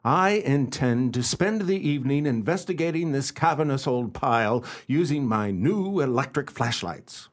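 Gentleman detective for radio drama, male actor: inquisitive, self-assured, theatrical, very animated intonation, mid-pitched. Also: close to an everyday voice. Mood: intrigued, probing. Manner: formal, deliberate, theatrical delivery. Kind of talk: radio play acting with first-person narration.

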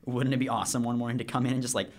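An actor playing a cheeky, eager teenage prankster in a radio drama, rambling breezily in casual slang. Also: male voice, mid-range voice, little pitch movement, racing, close to an everyday voice.

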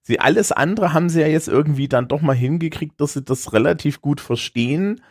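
A man's voice: fairly high pitch, brisk, darkish tone, no room sound, clean studio sound. A man with a light Thuringian accent co-hosting a casual tech podcast, wry and self-deprecating. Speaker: podcast co-host. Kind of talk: casual chat.

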